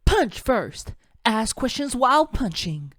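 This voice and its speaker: A man's voice: very high voice, sing-song, slow, a bit bright, no echo, clean studio sound, voice pushed up, exaggerated voice. A man voicing a brash, cheeky, confident female brawler from a video game. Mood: cocky. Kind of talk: video game character impressions.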